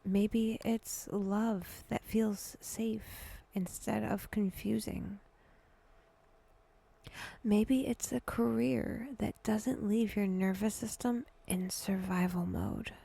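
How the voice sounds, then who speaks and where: A woman guiding a meditation in a hushed voice that drifts toward a whisper, speaking deliberately in short phrases with long pauses. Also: medium pitch, fairly even intonation, gravelly, husky. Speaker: guided meditation host. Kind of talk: slow, breathy guided meditation narration.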